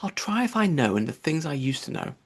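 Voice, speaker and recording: A man's voice: on the high side, big pitch swings, a little fast, breathy edge. A man voicing an anxious, chattering young girl from a storybook, musing fretfully to herself. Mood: puzzled, fretful. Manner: muses aloud to self, fretful. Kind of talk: storybook character voice.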